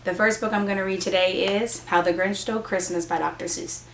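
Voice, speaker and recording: A woman's voice: medium pitch, steady tone, fairly bright tone, a little hiss or hum. A woman reading a children's story aloud expressively, with dramatic emphasis. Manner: dramatic emphasis and drawn-out repetition. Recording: echoey room, music and effects behind. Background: music and sound effects from an app.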